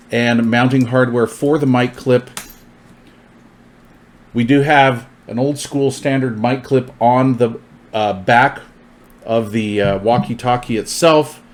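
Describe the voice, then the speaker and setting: A man giving an informal product overview, explaining features in a plainspoken way. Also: mid-range voice. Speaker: product reviewer presenting gear.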